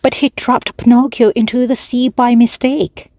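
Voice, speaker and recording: A woman narrating a children's story slowly and clearly for language learners, with pauses between phrases. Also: fairly high pitch, level tone, a little mellow, no echo, studio quality. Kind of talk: slow, clear read-aloud for language learners.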